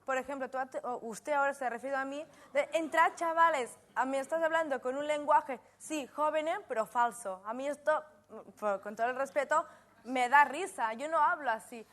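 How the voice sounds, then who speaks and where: A woman's voice: high-pitched, normal speed, fairly bright tone. A young woman on a youth panel, not a native speaker of Spanish, answering frankly and directly. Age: young adult.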